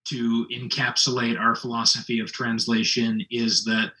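A man speaks in a very bright, sharp tone with little rise and fall. He explains his work as a literary translator in clear, measured sentences. His delivery stays even and articulate. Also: medium pitch. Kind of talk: conversational interview answers.

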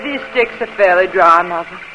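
A woman's voice: on the low side, normal speed, slight background noise, poor audio. A woman playing a young wife who is devoted to her husband, in earnest 1940s radio acting. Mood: hopeful. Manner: earnest, rising to breathless excitement.

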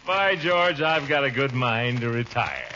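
An actor playing a blustery, fussy middle-aged uncle, pompous and excitable, in 1940s radio comedy style. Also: male voice, medium pitch, a little slow, noisy background, close to an everyday voice. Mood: proudly pleased. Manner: pompous, excitable, with exclamations and chuckles. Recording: muffled vintage radio recording with music.

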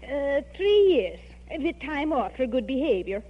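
An actress playing a bossy, wisecracking maid in an old radio comedy, wry and scolding. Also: female voice, sits high, animated, unhurried. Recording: muffled, echoey old radio recording, hum.

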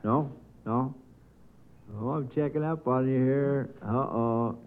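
A man's voice: medium pitch, slow, clean tone, phone-quality sound. A man hosting a quiz show in a 1950s radio style, heard on an old recording.

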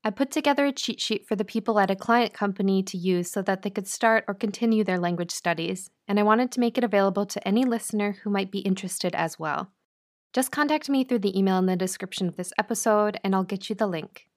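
A woman hosting a podcast, talking clearly and breaking phrases down slowly like a teacher. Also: medium pitch. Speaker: podcast host. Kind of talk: scripted podcast intro and interview.

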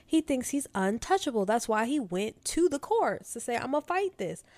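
A woman's voice: a little high, very animated, moderate pace, darkish tone, no echo. A woman in her late twenties co-hosting a true-crime podcast, chatting casually in emphatic slang and repeating words for emphasis. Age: late 20s. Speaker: podcast co-host.

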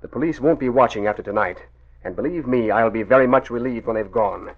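A man's voice: medium pitch, fast talker, lightly acted. An actor playing a nervous, cautious male racketeer boss who speaks in an uneasy, restrained way, in a dull old radio recording. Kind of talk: 1940s radio acting.

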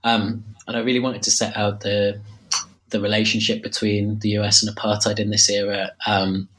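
A man's voice: on the low side, level tone, normal speed, fairly bright tone, poor audio. A man with a slight cold, losing his voice a little, talking about his book in an interview, hesitant with many fillers and restarts. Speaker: author discussing his book. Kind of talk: interview answer.

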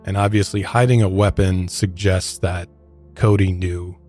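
A man's voice: deep voice, steady tone, measured pace, a little mellow, faint music behind. A man hosting a true crime podcast, casually explaining court clips and the case to his co-host.

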